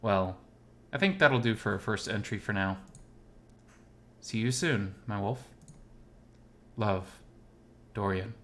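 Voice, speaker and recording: A man voicing a lovesick, gently self-mocking male animal character in first-person visual novel narration, intimate and wistful. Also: lowish voice, takes it slowly, pauses often. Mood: tender, wistful.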